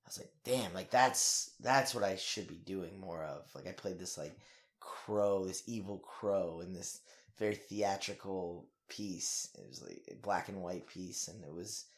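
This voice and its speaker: A young actor in a casual interview. His voice sits a bit low. He rambles with energy, full of fillers and the odd curse. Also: a little slow.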